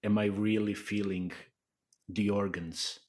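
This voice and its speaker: A man's voice: fairly low pitch, level tone, takes it slowly, a little mellow. A man hosting a podcast, asking curious, probing questions of his guest. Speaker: podcast host. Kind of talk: interviewing in a podcast.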